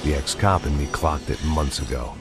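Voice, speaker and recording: An extremely deep-voiced American man narrates like a washed-up, bitter ex-cop in a noir video game. He sounds world-weary and sardonic, over loud music. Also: normal speed, gravelly. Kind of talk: hardboiled video game monologue.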